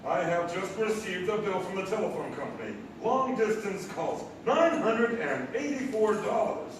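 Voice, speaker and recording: A man's voice: sits high, expressive, normal speed. A man playing an exasperated husband in a comic stage play, formal and aggrieved in his delivery. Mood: indignant. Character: exasperated, indignant husband and householder. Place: live theatre stage. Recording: echoey theatre from far off, noisy.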